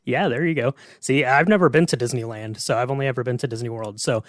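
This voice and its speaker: A man's voice: medium pitch, fast, no echo, studio quality. A man hosting a podcast, casually chatting and putting questions to a guest. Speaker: podcast host.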